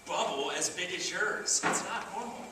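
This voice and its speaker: A man playing an outgoing, teasing buddy in a stage comedy, blunt and drawn-out in his delivery. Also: high voice, lightly acted. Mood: coaxing, teasing. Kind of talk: stage comedy acting, projected. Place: theater stage, recorded from the audience distance. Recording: echoey theater, far mic, noisy.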